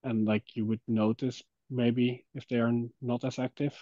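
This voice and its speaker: A man's voice: medium pitch, level tone, normal speed, no room sound. A man in a casual work call discussion, talking informally and thinking aloud as he explains his view. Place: online call. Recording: dry, dull call audio.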